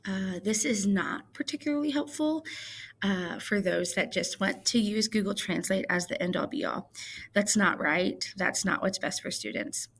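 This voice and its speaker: A woman teacher from Missouri walking viewers through a software tutorial in a chatty, explaining way with frequent fillers. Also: mid-range voice, a little slow, gravelly, slightly rough. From Missouri, United States. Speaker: ELL coordinator and teacher. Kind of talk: screen-recorded tutorial.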